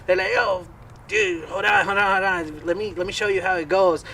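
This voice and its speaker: Bright-voiced young man. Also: high-pitched, brisk, creaky, recorded from a distance, a little hiss or hum. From Colombia. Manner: animated, laughing, swearing casually. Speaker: bass player and band guest. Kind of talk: casual storytelling chat.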